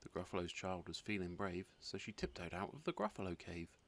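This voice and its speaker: Animated, slightly creaky man reading a story. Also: low-pitched, fairly quick. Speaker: storybook narrator. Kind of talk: expressive scripted read-aloud for children.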